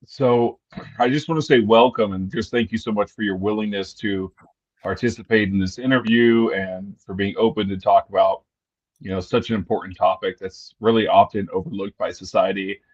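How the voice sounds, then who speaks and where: A male host runs a live-stream interview with a fairly low voice. His questions are friendly and easygoing, with fillers. The audio is dull and lo-fi. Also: fairly even intonation, normal speed.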